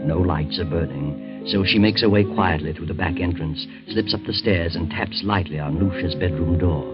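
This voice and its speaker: An actor playing an ominous narrator of a 1940s radio mystery, measured and suspenseful, on a muffled old recording. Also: male voice, low voice, close to an everyday voice. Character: ominous male mystery storyteller. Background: loud music.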